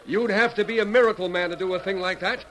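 A man acting as a plucky frontier pioneer with a high-pitched voice. He is blunt, folksy and rustic, leading a wagon train in a 1950s radio western, on a clearly noisy old broadcast recording. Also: fast talker, natural voice. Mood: dogged, amazed.

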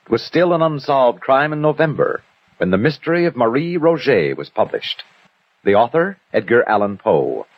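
A male announcer introduces old-time radio drama formally. His pitch moves dramatically through scripted phrases. The sound is dry, with the narrow band of a vintage broadcast. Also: very animated, moderate pace, dry recording. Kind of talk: scripted narration, 1960 radio mystery introduction.

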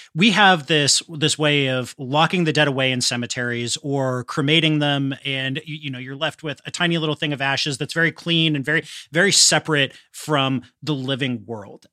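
A man's voice: mid-range voice, pushed and bright, no room sound, clean studio sound. An American man hosting an interview, talking in a reflective, discursive, conversational way. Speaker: podcast host, interviewer.

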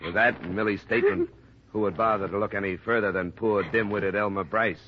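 An actor playing a hard-boiled, level-headed investigator in a 1950s radio drama, terse, firm and dry. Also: male voice, on the low side, steady tone, fairly quick, close to an everyday voice. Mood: probing, steady. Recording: muffled, noisy old radio recording.

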